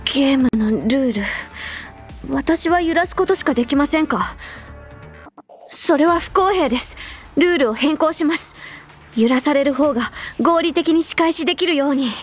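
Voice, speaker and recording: A woman voicing an earnest, rule-bound young female adjutant in an anime game, speaking formally and politely with quiet care. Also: high-pitched, normal speed, close to an everyday voice. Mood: formal, softly earnest. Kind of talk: Japanese anime game voice lines, intimate delivery. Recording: background music under the voice. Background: music.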